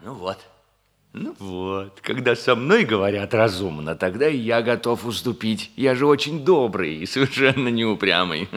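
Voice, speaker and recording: An actor playing a fussy, indecisive bachelor major of fifty-six in a 1960s Soviet radio comedy, hesitant and given to theatrical sighs. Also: male voice, on the low side, animated, normal speed, fairly bright tone. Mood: self-satisfied. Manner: hesitant, echoing others, sighing and groaning theatrically.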